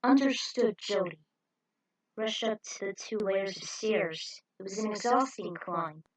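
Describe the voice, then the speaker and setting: A woman's voice: medium pitch, unhurried, poor audio. A woman narrating a scripted adventure story in a dramatic read-aloud manner, with the occasional stumble. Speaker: narrator reading own fan fiction.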